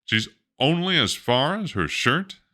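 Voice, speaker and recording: A man's voice: mid-range voice, big pitch swings, unhurried, sharp tone, pitched above the performer's own voice. A man voicing a fretful, exasperated storybook father who is easily dismayed. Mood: astonished dismay. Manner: astonished questioning, dramatic dismay.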